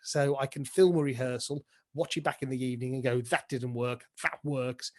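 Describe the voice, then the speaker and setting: A British man speaks as an interview guest in a casual, chatty way. He thinks aloud as he explains practical details step by step, sometimes voicing little asides. His pitch is mid-range for a man. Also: fairly even intonation, moderate pace. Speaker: pantomime dame and director, interview guest.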